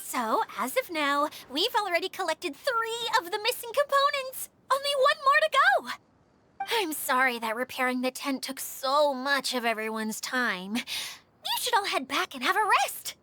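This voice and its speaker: An earnest young game heroine who looks after her team. The voice is high and bright, with lively, considerate phrasing. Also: normal speed, exaggerated voice. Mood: earnest, apologetic. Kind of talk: video game character acting.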